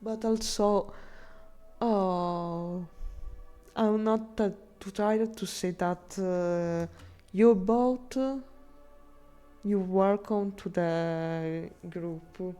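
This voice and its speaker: A woman on a live stream talks to her chat in unhurried, halting English, often stopping to restart a phrase or hunt for the right word. Her voice sits at an ordinary middle pitch with a soft, mellow tone. Quiet music plays underneath. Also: steady tone, clear, resonant tone. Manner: halting non-native English, frequent restarts and searching for words.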